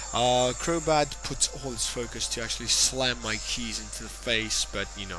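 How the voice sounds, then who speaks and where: A man's voice: medium pitch, rough recording. A tipsy man giving casual, rambling play-by-play commentary on a game, joking and swearing as he goes. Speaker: gameplay commentator. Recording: voice over loud game music. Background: game music.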